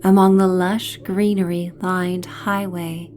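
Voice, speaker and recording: A woman narrating a guided sleep story in a soothing, gentle, hypnotic manner, with phrases trailing off into breathy near-whispers. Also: fairly low pitch, little pitch movement, takes it slowly, a little hiss or hum. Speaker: narrator and host of sleep stories.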